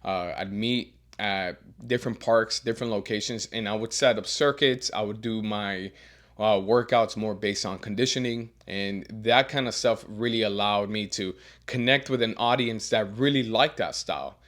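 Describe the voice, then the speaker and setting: A man who coaches strength and teaches as a professor, giving earnest career advice with a deeper voice and frequent repeated words as he restarts. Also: normal speed, fairly bright tone. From Miami. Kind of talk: advice monologue.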